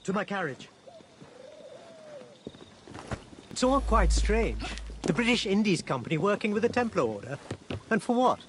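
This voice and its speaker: A man's voice: high voice, very animated, fairly quick, noisy background. A man playing a courteous, refined Indian maharaja in a video game, with an Indian accent and formal, gallant manners. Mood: intent, conspiratorial. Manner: formal, polite, gallant turns of phrase. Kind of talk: video game cutscene acting. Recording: loud music behind the voice.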